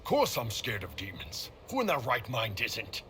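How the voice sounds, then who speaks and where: A man playing a big, gruff horned warrior in a video game, talking bluntly and casually with some swearing. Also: medium pitch, huge pitch swings, normal speed, fairly bright tone, slight background noise. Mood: blunt, uneasy. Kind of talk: video game cutscene dialogue.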